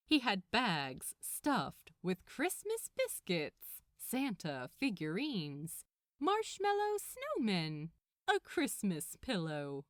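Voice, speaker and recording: Expressive, slow-paced woman reading a story. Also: mid-range voice, dry recording, clean studio sound. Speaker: fan fiction narrator. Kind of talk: expressive scripted story narration.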